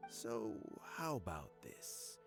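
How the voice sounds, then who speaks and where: An actor playing a calm, measured male negotiator in a video game. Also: fairly low pitch, gravelly, over music, full character voice.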